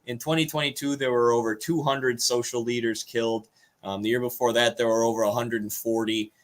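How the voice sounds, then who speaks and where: A man's voice: medium pitch, little pitch movement, a little fast. American man giving informal political commentary. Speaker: political livestream co-host. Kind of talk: informal news commentary, partly reading aloud.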